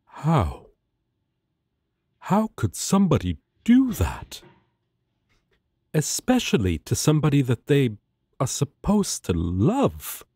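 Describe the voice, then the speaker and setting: A man's voice: medium pitch, huge pitch swings, takes it slowly, pauses often, warm tone, husky, no echo, studio quality, full character voice. A man playing a righteous, protective angel, speaking in an earnest, stern, dramatic manner in an audio roleplay. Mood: indignant, disbelieving.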